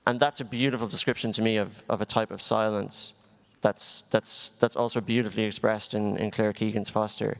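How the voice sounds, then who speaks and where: A man's voice: fairly low pitch, level tone, a little fast, gravelly. A man from Dublin with an Irish accent, a film director answering questions on a festival panel in a thoughtful, restarting way. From Ireland, Dublin. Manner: thoughtful, with frequent restarts and ums. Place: festival venue hall. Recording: long hall reverb.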